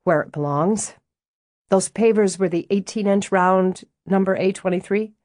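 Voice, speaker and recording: A woman voicing a brisk, buttoned-up office manager who talks in crisp, dry, clipped lines. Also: medium pitch, darkish tone, no echo, close to an everyday voice.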